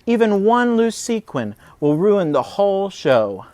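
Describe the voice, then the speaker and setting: A man playing a bossy, high-pitched little woodland animal. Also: big pitch swings, a little slow, darkish tone, no room sound. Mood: demanding. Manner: insistent, emphatic. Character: bossy, fussy animal friend, rabbit or squirrel, fretting.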